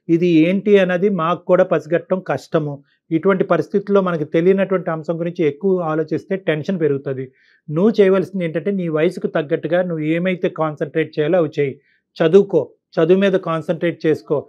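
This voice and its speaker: A man with a somewhat high voice gives counsel on a call-in show. He speaks very fast, explaining and listing his points with repetition. The sound is slightly dull and band-limited. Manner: explanatory, repeats and lists points. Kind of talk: advisory talk to caller and co-host.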